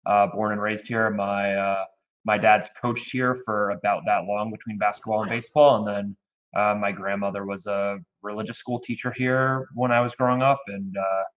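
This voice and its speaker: Low-voiced man in his thirties, lo-fi. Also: fairly even intonation, normal speed, fairly bright tone. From Connecticut. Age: 30s. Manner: rambling, with frequent restarts and fillers. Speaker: youth baseball program director. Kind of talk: casual interview chat. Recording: dull lo-fi audio.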